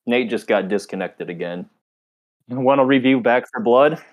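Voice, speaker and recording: A young man co-hosting a podcast in casual chat, talking in a low-key, flat, monotone way. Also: moderate pace. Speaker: podcast co-host.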